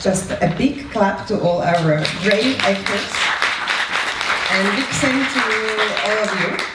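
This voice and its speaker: A woman speaking as the moderator of a live event, addressing the room. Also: mid-range voice. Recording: echoey room, distant mic, faint noise.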